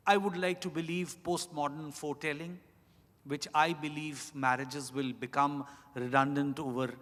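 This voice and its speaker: A man speaking as an author on a panel, answering off the cuff in a reflective, halting way, phrase by phrase. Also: fairly high pitch, a bit bright. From India. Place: large indoor event hall at a hotel. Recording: echoey event hall, long reverb.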